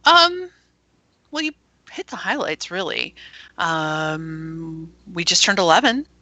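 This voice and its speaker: A woman guest chats eagerly about the radio station she runs and laughs often. Her voice is bright and forceful, a little on the low side, and it sounds dry and close. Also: big pitch swings, slow pace, no room sound. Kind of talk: casual interview chat.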